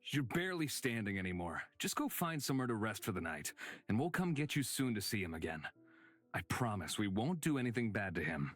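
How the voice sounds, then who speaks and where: A man's voice: on the low side, very animated, fairly quick. A man voicing an easygoing, reassuring travel companion in a visual novel game, casual in manner. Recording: music under the voice.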